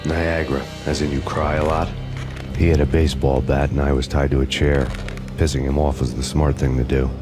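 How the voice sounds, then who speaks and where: An actor playing a grim, hard-boiled cop who narrates in a deadpan, world-weary voice-over over game music. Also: male voice, a bass voice, fairly quick. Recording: game soundtrack music behind.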